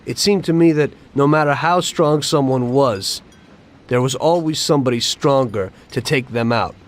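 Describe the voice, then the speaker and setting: A man's voice: medium pitch, normal speed, close to an everyday voice. Disillusioned American gangster played by a male actor, mid-range voice, weary and cynical, telling his story plainly for a crime game. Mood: cynical, reflective. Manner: reflective, plain-spoken, cynical. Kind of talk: dramatic game cutscene narration.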